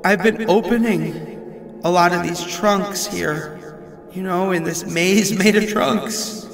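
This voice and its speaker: A man playing a doomed, haunted narrator recording his testimony in a horror audio drama, halting and distraught. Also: high-pitched, a bit bright, heavily acted. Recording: roomy, slightly distant voice with music.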